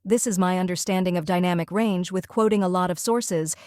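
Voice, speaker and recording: A woman's voice: on the low side, fairly quick, darkish tone, clean tone, no room sound, clean studio sound. A woman student reading out a scripted explainer presentation step by step.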